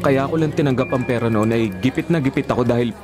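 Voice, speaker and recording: A man's voice: mid-range voice, quick pace, over a noisy crowd, close to an everyday voice. An actor playing a sincere young male suitor, respectful, hesitant and earnest, in a radio drama. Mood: taken aback. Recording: prominent music behind the voice.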